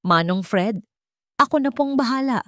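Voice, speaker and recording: A woman with a Filipino accent voicing a calm, serious man with hidden bitterness in an audio drama. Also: a little high, moderate pace, dry recording, clean studio sound, pitched above the performer's own voice.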